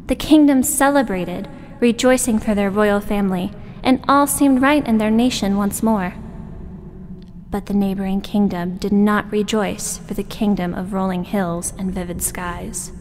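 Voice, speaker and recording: A woman's voice: mid-range voice, a little mellow, slight background noise. Woman narrating a fairytale in long reverb. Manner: dramatic storytelling.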